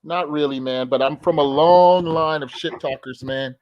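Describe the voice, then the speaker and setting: A middle-aged man from the Caribbean, a guest who tells stories in a relaxed, talkative way on a casual remote podcast chat. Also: a little high, normal speed, no echo, dull audio. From the Caribbean; born in the Bahamas, grew up in Barbados.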